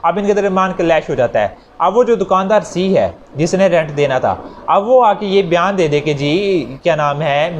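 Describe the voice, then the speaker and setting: A man with a Pakistani accent giving an explanatory law lecture, repeating and rephrasing points with worked examples for students. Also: fairly high pitch. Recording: noticeable background noise.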